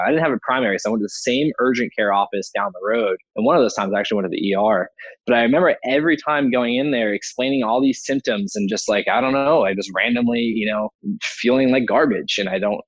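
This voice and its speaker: Male interview guest, American, 30s, lowish voice, conversational storyteller, dry but dull-sounding recording. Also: no echo. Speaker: interview guest, BMX athlete. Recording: dull, lo-fi audio.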